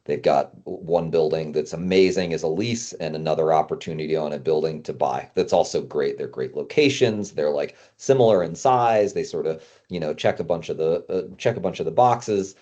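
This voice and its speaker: A man's voice: rumbling low, moderate pace, few pauses, a little mellow. A man talking casually as a guest consultant, explaining business matters in loose, conversational language.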